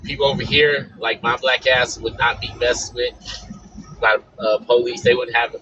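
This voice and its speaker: A man with a raspy, hoarse voice from being sick, a panel guest talking bluntly in casual chat. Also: medium pitch, fairly even intonation, normal speed. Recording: muffled audio with faint background noise.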